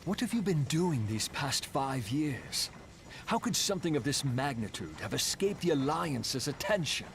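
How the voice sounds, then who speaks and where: An actor playing a stern, battle-weary and exasperated ally in a fantasy video game. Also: male voice, medium pitch, big pitch swings, normal speed, creaky, a little hiss or hum. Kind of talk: fantasy game dialogue acting.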